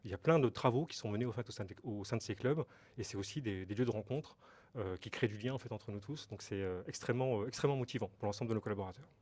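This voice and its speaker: A man's voice: lowish voice, very fast, a little mellow. A middle-aged man from Brittany, France, answering interview questions as a company director, explaining hesitantly with many fillers and repeated words.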